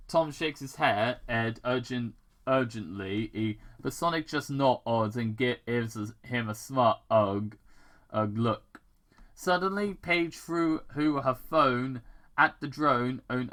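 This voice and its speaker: Slow, halting amateur fan fiction reader. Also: mid-range voice, a bit bright. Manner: halting, stumbling, repeats words. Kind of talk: amateur story read-aloud.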